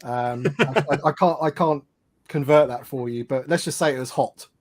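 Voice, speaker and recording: A man chatting easily as a guest on a livestream over a video call, in a relaxed, easygoing way. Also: medium pitch, normal speed, no echo. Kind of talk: casual livestream chat.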